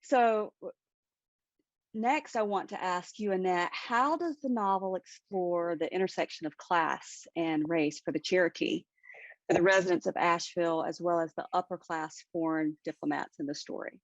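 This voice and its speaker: Dry dull recording, American woman, slightly low voice, moderator, deliberate and formal. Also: no echo. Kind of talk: moderated book event.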